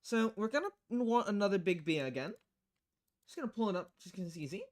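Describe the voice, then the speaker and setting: A man's voice: high-pitched, big pitch swings, brisk. A man giving casual gameplay commentary as a streamer. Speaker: game streamer.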